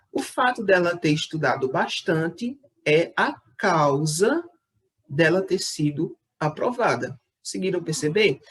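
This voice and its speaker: A male school teacher giving a recorded lesson to sixth graders, explaining patiently, checking in often with the class and slowing down to read out his example sentences. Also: sits high.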